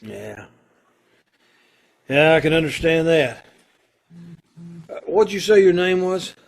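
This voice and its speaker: A man acting a kindly, earnest condemned prisoner in an old-time radio play, with hesitant, folksy phrasing and a gravelly, husky voice. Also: a little high, expressive, full character voice. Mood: gentle, curious. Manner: hesitant, halting, folksy and informal.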